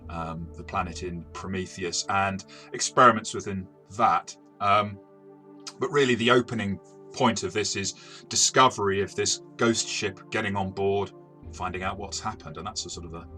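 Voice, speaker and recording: A man's voice: sits low, fairly even intonation, moderate pace, pushed and bright, soft background music. A man co-hosting a review, talking in a casual, discursive and explanatory way. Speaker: co-host and game reviewer.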